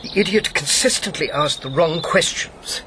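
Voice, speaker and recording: Sardonic older ex-officer, dry, scornful, articulate, sharp bright voice, slightly high pitch, radio drama. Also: male voice, moderate pace, a little hiss or hum, low-quality recording. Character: sardonic, blunt older man, former wartime signals officer. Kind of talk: naturalistic radio drama acting.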